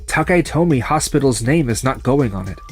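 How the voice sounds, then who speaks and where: A man playing a calm, resolute young surgeon turned farmer in a manga dub, speaking in a measured, firm way. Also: mid-range voice, soft background music, natural voice. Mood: firm, accusing.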